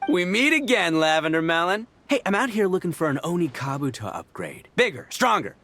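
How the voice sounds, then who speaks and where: A man voicing a boastful, playful young oni in a video game, swaggering and teasing in slangy talk with a gravelly edge. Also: on the high side, sing-song, brisk, bright, forceful tone, slight background noise, exaggerated voice. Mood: cocky. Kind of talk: animated video game voice acting. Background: music.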